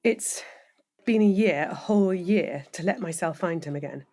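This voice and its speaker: An actress playing an introspective, emotionally exposed middle-aged woman lawyer in an audio drama, speaking in a measured, earnest way. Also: on the low side, mellow, soft background music, close to an everyday voice. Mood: earnest, resolute.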